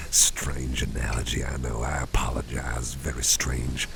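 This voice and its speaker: A man playing a caring, rambling boss in a gentle ASMR roleplay, speaking in a breathy, gravelly whisper with folksy wording. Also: a bass voice, takes it slowly, noisy background, full character voice. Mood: musing, puzzled. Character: caring, rambling, soothing male boss at home.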